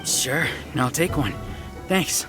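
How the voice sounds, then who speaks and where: A man's voice: a little high, animated, slow, music in the background. An actor playing a tough, training-obsessed wounded male soldier in a video game, blunt and teasing. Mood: gruffly pleased. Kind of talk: English video game voice acting.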